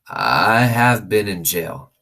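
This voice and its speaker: Man playing a slow, bashful pet shop man. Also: sits low, big pitch swings, gravelly, sharp tone, full character voice. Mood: bashful, subdued. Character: shy, gentle ex-convict pet shop man.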